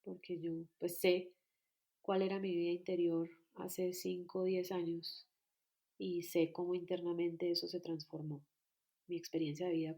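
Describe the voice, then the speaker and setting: A woman, a guest telling her life story in a calm, earnest, reflective way. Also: lowish voice, highs cut off. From Colombia. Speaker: guest, personal growth coach. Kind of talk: reflective personal account. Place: at home near Bogotá.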